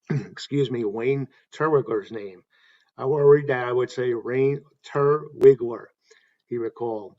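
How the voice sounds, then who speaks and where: A man hosting a tribute talk, reading anecdotes in a halting way and correcting himself as he goes. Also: medium pitch, a little mellow, lo-fi sound. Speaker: host paying tribute to a stadium announcer.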